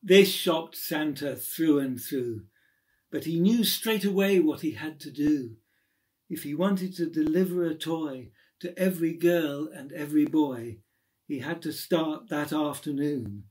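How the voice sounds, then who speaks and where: A man's voice: fairly high pitch, a little slow, a little mellow. A middle-aged man reading a rhyming story aloud in a theatrical storytelling style. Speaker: poem reader. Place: small room at home.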